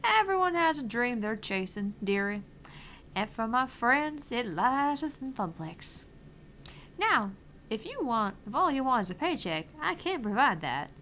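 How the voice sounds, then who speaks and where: A woman's voice: a little high, very animated, unhurried, fairly bright tone, a little hiss or hum. A woman voicing an eccentric, earnest older female arcade owner, theatrical and probing as she reads visual novel dialogue.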